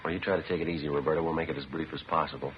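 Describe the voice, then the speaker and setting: Rapid, low-voiced deadpan police detective. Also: male voice, slight background noise. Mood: gently questioning. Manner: deadpan, matter-of-fact. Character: calm, businesslike male police detective, narrating his case. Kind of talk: 1950s radio drama acting, clipped procedural delivery. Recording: muffled 1950s radio recording with music.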